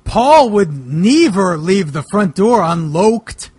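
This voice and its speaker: A man voicing a worried, melodramatic monster wrestler in a mock dramatic reading, with overwrought, drawn-out delivery. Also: sits high, sing-song, music in the background, full character voice. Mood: alarmed.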